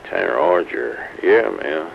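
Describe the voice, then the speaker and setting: Deep, gravelly man on CB radio. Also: very animated, slow. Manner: folksy, uses CB slang. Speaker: radio operator. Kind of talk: casual CB radio chat. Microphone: CB radio transmitter. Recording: noisy, muffled CB radio transmission.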